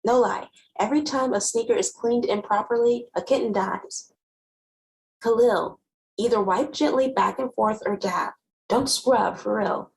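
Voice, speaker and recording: A woman playing a wry, guarded, sharp-tongued teenage girl who narrates her own story conversationally in a dramatic novel reading. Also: a little high, measured pace, creaky, low-quality recording. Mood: playful. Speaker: actor.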